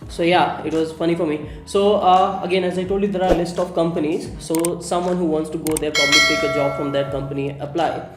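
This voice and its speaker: A man from India, a software engineer, talking casually as an interview guest, often pausing with "uh" as he recalls details. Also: fairly high pitch, roomy sound, music in the background. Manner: relaxed, many "uh" pauses while recalling.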